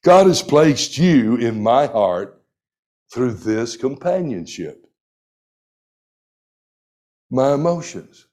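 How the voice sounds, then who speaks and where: Slow-preaching man in his sixties. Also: medium pitch, very animated, darkish tone. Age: 60s. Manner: slow, deliberate preaching with emphatic scripture reading. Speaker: pastor. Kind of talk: sermon.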